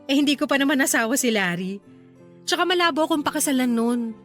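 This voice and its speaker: A woman's voice: sits high, animated, fast talker, fairly bright tone, faint music behind. An actress playing a young woman who is a coy, restless secret lover in a Tagalog radio drama. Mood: worried, hesitant.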